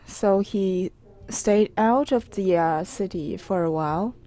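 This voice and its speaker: A woman giving spontaneous explanatory commentary, telling a story step by step in halting phrases. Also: medium pitch, warm tone.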